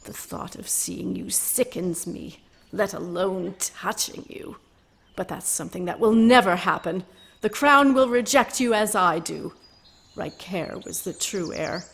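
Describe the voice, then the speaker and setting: A woman's voice: lowish voice, measured pace, vocal fry, fairly bright tone, breathy edge, exaggerated voice. An actress playing a proud, defiant young noblewoman in a radio drama, speaking formally with bitter scorn. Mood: scornful, impassioned.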